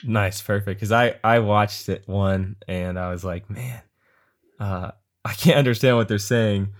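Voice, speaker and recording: A man interviewing a guest in a relaxed, joking, casual chat. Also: fairly low pitch, measured pace.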